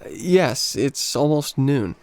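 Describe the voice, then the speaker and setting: A man's voice: mid-range voice, lively intonation, slow, no echo. A man playing an awkward, smitten young American vacationer in a radio play, hesitant and self-effacing. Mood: smitten, nervous.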